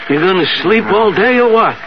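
Ailing, feisty old American man, very high voice, muffled vintage radio drama sound. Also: expressive, a little fast. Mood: chatty, needling. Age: older. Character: ailing, chatty old male hospital patient, whiny and feisty. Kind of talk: 1970s radio drama acting. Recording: muffled, slightly noisy 1970s radio recording.

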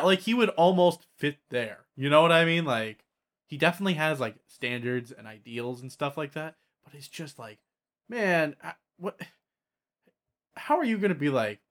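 A man's voice: mid-range voice, a bit bright. A man hosting a casual tabletop gaming podcast, explaining his ideas and checking that others follow. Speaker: podcast host. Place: online voice call, recorded at own microphone.

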